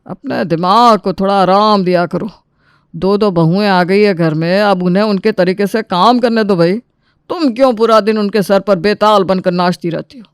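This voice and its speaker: A man's voice: sits high, moderate pace, clean tone, dry recording, clean studio sound. A man playing an elderly, reasonable but exasperated father-in-law who admonishes and pleads for peace in a family story.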